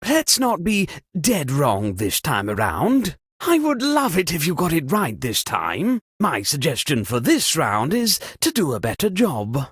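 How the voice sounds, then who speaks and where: Theatrical, wildly swooping pompous lord. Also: male voice, a little high, huge pitch swings, unhurried, hardly any pauses, fairly bright tone, husky, no room sound, studio quality, full character voice. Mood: genteel, coaxing. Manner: theatrical, genteel, fussy, with old-fashioned exclamations. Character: excitable, pompous aristocrat party host and amateur sleuth. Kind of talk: cartoonish party game character voice acting.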